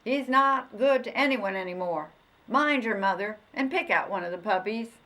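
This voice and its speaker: A woman's voice: mid-range voice, expressive, normal speed, pushed and bright, poor audio. A woman voicing a blunt, dismissive male dog catcher in a storybook. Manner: blunt, matter-of-fact.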